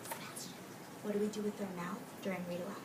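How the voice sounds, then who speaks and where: A woman teacher reading a picture book aloud to young children, expressively and deliberately, drawing out key words and explaining simply. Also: mid-range voice, flat delivery. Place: indoors in a classroom with young children. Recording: roomy distant mic with faint background noise.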